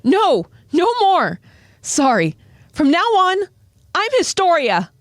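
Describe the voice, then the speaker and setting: A woman voicing an earnest, insistent young heroine in an animated story, emotional and pleading. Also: very high voice, slow pace, no room sound, clean studio sound, exaggerated voice. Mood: resolute.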